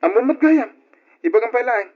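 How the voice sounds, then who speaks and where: A man with an Ilocano Filipino accent playing a smooth-talking, callous philanderer in a radio drama. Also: very high-pitched, expressive, brisk. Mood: cold, irritated. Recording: dull old radio drama recording.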